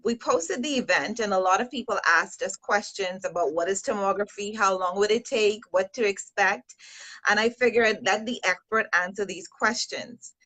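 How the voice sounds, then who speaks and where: A woman's voice: medium pitch, normal speed, pushed and bright, rough recording. A woman hosting a live stream over a video call, guiding the conversation with questions. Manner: conversational, guides the talk with questions.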